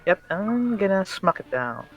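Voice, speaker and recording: Man, player, relaxed, short replies, slightly high pitch. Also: measured pace, dull audio, over music. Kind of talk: casual tabletop game chat.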